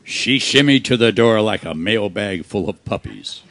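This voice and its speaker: A man playing a world-weary, wisecracking private detective in a hard-boiled radio play, delivering his lines deadpan. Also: fairly low pitch, measured pace, noisy background. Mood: wry narrating. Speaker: amateur actor in a live radio play. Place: live performance on a cruise ship.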